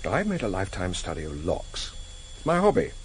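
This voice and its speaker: An actor in a vintage radio drama playing a cheeky, probing British intelligence agent, dry and teasing, with a British accent. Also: male voice, deep voice, wildly swooping pitch, a little fast. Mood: wry, teasing. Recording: slightly noisy vintage radio recording.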